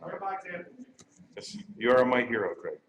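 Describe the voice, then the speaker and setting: A man's voice: mid-range voice, sing-song, moderate pace, fairly bright tone, slight background noise. A man informally presenting awards live on stage, joking and rambling as he goes.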